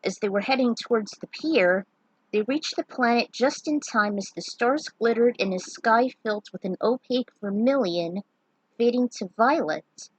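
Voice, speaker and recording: A woman reading her own fan fiction aloud as a storyteller, earnest and unhurried, with small stumbles. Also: a little high, husky, dry recording, low-quality recording.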